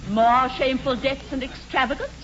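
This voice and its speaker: An actress playing a prim, middle-aged English spinster governess in a 1940s radio comedy, speaking precisely and sententiously. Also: female voice, high-pitched, fairly even intonation, normal speed, a bit bright. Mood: prim disapproval. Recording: dull, noisy 1940s radio broadcast.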